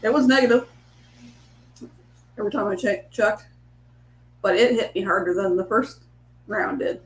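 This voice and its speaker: A woman's voice: medium pitch, normal speed. Chatty, stuffy-nosed woman in an echoey room. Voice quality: stuffy, congested nose. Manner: rambling, chatty, with many restarts. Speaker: livestream cooking host. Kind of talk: casual chat on a live stream, greeting viewers. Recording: echoey room with faint hum.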